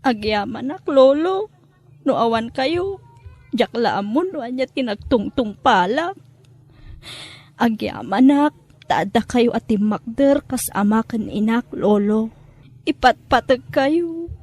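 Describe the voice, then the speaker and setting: A woman's voice: fairly high pitch, animated, takes it slowly, a little mellow, slightly rough, heavily acted. A woman playing a sad, polite young granddaughter in an Ilocano radio drama, speaking in a plaintive, respectful way. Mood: sorrowful, grateful.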